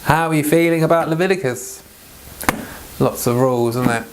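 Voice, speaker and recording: A man's voice: on the high side, moderate pace, very noisy. A British man reading scripture aloud and explaining it informally, in a rambling, self-interrupting way. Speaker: Bible reader and teacher.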